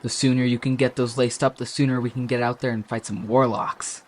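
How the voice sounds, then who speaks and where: A man's voice: mid-range voice, level tone, a little fast, a little hiss or hum, natural voice. A man voicing a small, eager, naive rat-folk privateer in an audio drama, earnest in manner.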